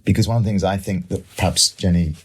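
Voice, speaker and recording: A middle-aged British man with a very deep voice takes part in a panel discussion. He explains his thinking reflectively, setting out lists and examples as an expert guest would. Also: fairly even intonation, fast, husky. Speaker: economist and author, panel guest.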